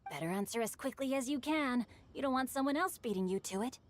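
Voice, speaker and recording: A woman voicing a composed, gently teasing young poet in an anime game. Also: sits high, lively intonation, fast talker, noisy background. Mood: amused.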